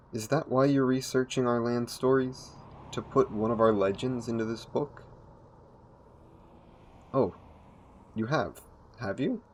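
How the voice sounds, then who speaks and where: A man's voice: mid-range voice, slight background noise, lightly acted. A man playing an ancient, courteous, predatory vampire in an audio roleplay, speaking in formal, old-fashioned phrases. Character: ancient male vampire, courteous, smooth-tongued, predatory former king. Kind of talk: intimate audio roleplay acting.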